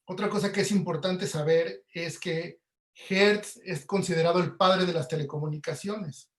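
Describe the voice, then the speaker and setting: A man with a Mexican accent explains things informally, as in an online class. His voice is fairly high, and the room adds clear echo. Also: steady tone, moderate pace. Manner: explanatory, often checks with tag questions. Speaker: lecturer, audio producer. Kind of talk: informal webinar lecture. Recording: echoey room.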